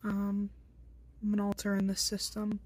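Young woman, slow flat delivery, curt and annoyed, casual to-camera vlog in a dry small room. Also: mid-range voice, breathy edge, no echo, rough recording. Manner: blunt, irritable, slow and halting with many fillers. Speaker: vlogger introducing themselves. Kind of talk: casual self-introduction to camera. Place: small bedroom indoors.

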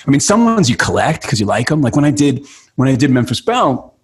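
A man in his fifties from New York, a guest actor telling stories in a casual podcast chat over a video call, lively and full of restarts. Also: mid-range voice, fast, slightly rough. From New York City. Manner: animated storyteller, frequent restarts and gestures. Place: home room. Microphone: studio mic with headphones, video call.

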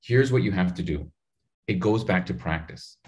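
A man in his thirties coaching on public speaking over a video call. His voice sits low and his delivery is animated and direct, aimed straight at the listener. He is recorded on a studio mic in a home room. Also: moderate pace. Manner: animated, gestures a lot, talks straight to the viewer. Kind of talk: energetic advice in an interview. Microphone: studio mic in frame on a video call.

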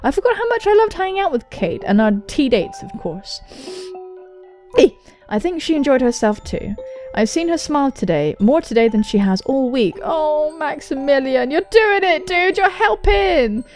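High-pitched, animated young British woman. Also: moderate pace. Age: young adult. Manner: excitable, sweary, exclaiming. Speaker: gaming video host. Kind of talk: playthrough commentary mixed with reading aloud. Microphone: close studio mic. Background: music.

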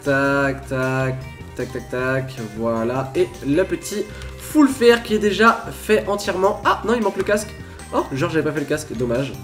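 High-voiced man giving playful game commentary. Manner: chatty, playful, bursts into laughing shouts. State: stuffy with a cold. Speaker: gaming YouTuber. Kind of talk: excited Minecraft commentary.

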